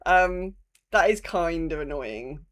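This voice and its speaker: A woman's voice: lowish voice, animated, slow pace. A woman co-hosting a podcast in casual conversation, thinking aloud somewhat hesitantly as she goes. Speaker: podcast co-host. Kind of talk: casual conversational discussion of a video game.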